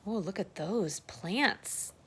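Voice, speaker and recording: Expressive woman reading slowly to young children. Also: mid-range voice, a little hiss or hum. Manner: expressive, exclaiming, asking young listeners questions. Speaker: children's circle-time teacher and storybook reader. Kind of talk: children's storybook read-aloud with chatty asides.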